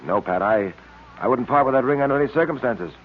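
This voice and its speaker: An actor playing a guarded police detective hero, clipped and firm, in old-time radio serial style. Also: male voice, medium pitch, expressive, fast talker, natural voice. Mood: brisk, guarded. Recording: tinny, noisy vintage radio recording.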